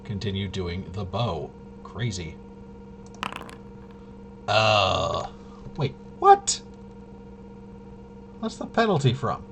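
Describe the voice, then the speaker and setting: A man's voice: fairly low pitch, sing-song, drawn-out words, long pauses. A man giving casual gameplay commentary, thinking aloud and muttering to himself in a puzzled, self-questioning way. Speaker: player running a tabletop game demo. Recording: clearly noisy background.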